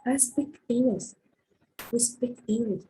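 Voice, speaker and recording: A woman's voice: fairly high pitch, takes it slowly, dark tone, slightly rough, rough recording. A young woman in her twenties with a Vietnamese accent, teaching a recorded grammar lesson with many hesitations and fillers. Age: 20s. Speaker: English teacher.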